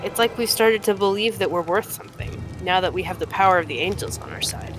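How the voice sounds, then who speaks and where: A woman voicing a frank, earnest young horned male fighter in a fantasy game, in a measured, conversational way. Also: mid-range voice, over music, natural voice. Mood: earnest, apologetic. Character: earnest young horned mongrel man, frank, wry cave-dwelling fighter.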